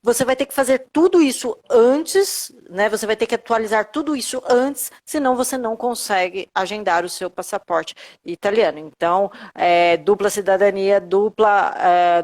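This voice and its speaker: A woman's voice: medium pitch, moderate pace, few pauses, darkish tone. A Brazilian woman, a lawyer, explaining things step by step on a live video call, with lots of fillers and tag questions. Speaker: lawyer guest on Italian citizenship.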